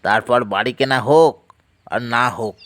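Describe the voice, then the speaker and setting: A man's voice: medium pitch, measured pace, a bit bright, no room sound, natural voice. A man playing a doubtful but easy-going male friend in an audio story, speaking in a colloquial, questioning way. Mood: agreeable, considering. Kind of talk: audio story dramatic dialogue.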